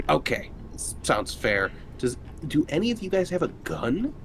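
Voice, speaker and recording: A man's voice: a little high, very animated, measured pace. A man taking part in a tabletop roleplaying game as a player, chatting casually in and out of character. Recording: faint background noise.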